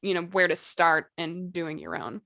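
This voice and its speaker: A woman's voice: fairly low pitch, sing-song, moderate pace, gravelly, sharp tone, no echo. Woman podcast co-host, dry close sound. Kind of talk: casual podcast discussion.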